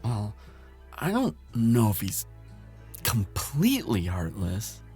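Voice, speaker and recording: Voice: mid-range voice, huge pitch swings, slow, warm tone, breathy edge, faint music behind, exaggerated voice. A performer playing a nervous, kind lab assistant in an audio roleplay drama, hesitant and stammering. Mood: earnest, subdued.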